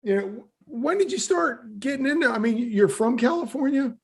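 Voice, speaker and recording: A man's voice: very high voice, lively intonation, normal speed, creaky, husky. A man hosting an interview, chatty and rambling with many fillers. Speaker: podcast host, interviewer. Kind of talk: casual interview.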